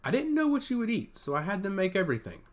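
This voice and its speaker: A man performs a male god of chaos from a fantasy audio story. He is courteous and grave, speaking formally and bluntly with animated pitch. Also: fast talker. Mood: hospitable.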